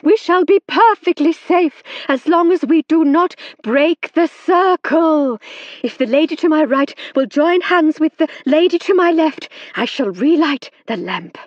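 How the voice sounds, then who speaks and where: Showy female medium, voiced by a woman: high, faraway, dramatic, husky. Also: expressive, slow pace, few pauses, darkish tone, no echo, lo-fi sound, exaggerated voice. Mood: commanding. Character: theatrical female spirit medium, commanding.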